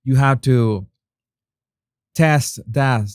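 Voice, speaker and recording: A man's voice: medium pitch, drawn-out words, smooth, dry recording, clean studio sound. A Venezuelan man who speaks English with a Spanish accent, chatting casually with his viewers and restarting his sentences often. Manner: chatty, many restarts and fillers, laughs at own jokes. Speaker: YouTube host. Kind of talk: casual chat reading viewer comments.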